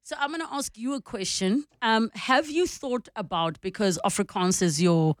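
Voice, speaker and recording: A woman's voice: medium pitch, expressive, moderate pace, no room sound, clean studio sound. A South African woman radio host speaking conversationally, explaining and advising in an encouraging manner. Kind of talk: conversational advice and interviewing.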